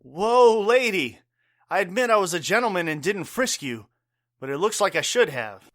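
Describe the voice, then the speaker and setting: A man playing a caring, gruff bartender father and war veteran in an audio drama, earnest, wry and plain-spoken. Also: high voice, very animated, moderate pace, fairly bright tone, dry recording.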